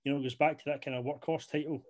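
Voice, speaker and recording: A man's voice: fairly high pitch, fairly even intonation, racing, darkish tone. A young man co-hosting a wrestling podcast, rambling casually and leaning on "you know" fillers. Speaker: podcast co-host. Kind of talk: casual chat.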